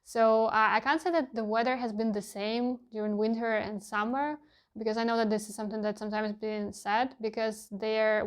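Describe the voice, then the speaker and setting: A young woman whose first language is Russian, talking candidly in a casual vlog and often pausing with fillers as she hunts for words. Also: medium pitch, clear, resonant tone. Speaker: vlogger.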